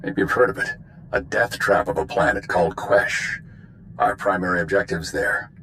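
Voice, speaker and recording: An authoritative male spymaster in a video game. His voice is low and measured, with steady command, over noticeable background noise. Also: poor audio.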